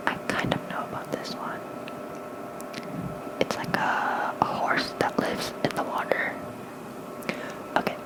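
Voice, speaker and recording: ASMR host for sleep content: fully whispered, unhurried reading, soft and casual, close on the mic. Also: poor audio. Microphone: close fluffy-covered microphone.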